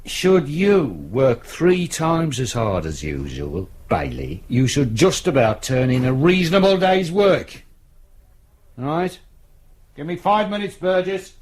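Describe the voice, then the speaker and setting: A gruff senior detective in a British radio drama. He is brusque and sarcastic, and his pitch moves in lively swings as he hands out orders. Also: takes it slowly, a little hiss or hum. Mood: curt, sarcastic. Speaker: actor.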